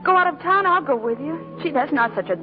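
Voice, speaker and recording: A woman's voice: high-pitched, animated, brisk. An actress playing an earnest, plainspoken young working woman in love, with an American accent, in 1940s radio style. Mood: pleading. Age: young adult. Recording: muffled old radio broadcast with music.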